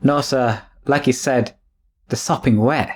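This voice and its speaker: A man's voice: mid-range voice, steady tone, a little slow, slightly rough. A man playing a deferential, uneasy manservant, polite and hesitant, in an old-time radio drama. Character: deferential, uneasy manservant following his master.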